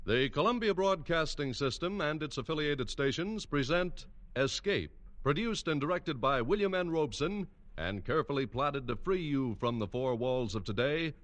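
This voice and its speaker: A man making a crisp, formal network announcement for an old radio drama. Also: fairly high pitch, lively intonation, moderate pace, a bit bright.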